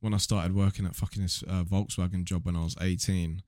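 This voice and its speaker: Young british lad from the south, low voice, swears loads, chatting blunt on a podcast, dry close sound. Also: male voice, level tone, fairly quick, warm tone, no echo. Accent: southern English. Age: young adult. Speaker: podcast guest, online video creator. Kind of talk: casual podcast chat.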